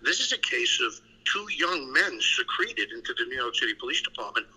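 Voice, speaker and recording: A man, a retired detective guest, telling stories in a measured, deliberate way on an interview show. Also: mid-range voice, sing-song, pushed and bright, husky, poor audio. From Irish heritage. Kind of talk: casual interview talk.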